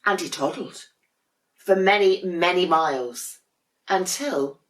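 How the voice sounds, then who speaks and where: A woman, a teacher, reading a children's storybook expressively with dramatic pauses in an echoey room. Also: fairly low pitch.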